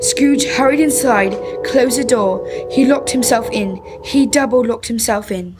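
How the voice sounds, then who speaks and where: A woman's voice: on the high side, unhurried, lightly acted. A young woman reading scripted narration in an old-time radio drama. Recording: loud music under the voice.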